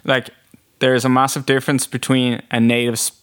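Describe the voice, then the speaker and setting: Smooth-voiced young man, loose and rambling. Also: medium pitch, moderate pace. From Japan, then Ireland from age six. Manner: relaxed, many fillers like "kind of" and "um". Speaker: interviewee. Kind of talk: casual interview answers.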